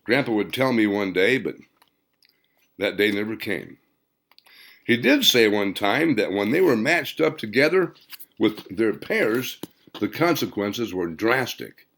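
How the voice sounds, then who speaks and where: A man voicing a grave man in an audiobook, halting and emphatic as he gives warning. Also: on the low side, lively intonation, a bit bright, full character voice. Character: grave man recalling his grandfather's warning. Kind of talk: audiobook character dialogue.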